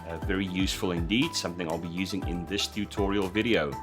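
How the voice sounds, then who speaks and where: A man hosting a scale model tutorial in voice-over, talking hesitantly with many "uh" fillers and restarts, his voice slightly croaky after an illness. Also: low voice, steady tone, quick pace. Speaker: scale model building host. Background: background music.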